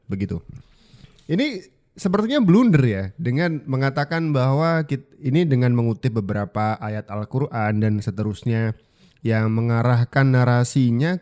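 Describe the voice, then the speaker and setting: Male Indonesian host, conversational and colloquial, soft-edged dark voice for casual commentary. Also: on the low side.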